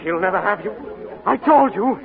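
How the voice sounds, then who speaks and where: An actor in a 1940s radio drama playing a drunken, jealous young man who shouts wildly. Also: high-pitched, animated, moderate pace, creaky. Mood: threatening. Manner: wild, shouting. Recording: tinny old radio broadcast with crowd.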